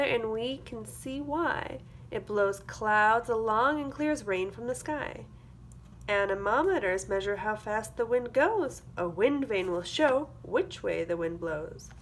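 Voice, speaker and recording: A woman's voice: on the high side, slight background noise. A woman teacher reading a picture book aloud to young children, expressively, with simple explanatory asides. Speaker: early-grades classroom teacher.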